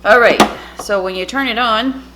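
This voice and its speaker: A woman's voice: fairly high pitch, normal speed, noisy background. A woman whose first language is French, a cooking YouTuber chatting and rambling through an air-fryer demo in her home kitchen. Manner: chatty, rambling, self-interrupting.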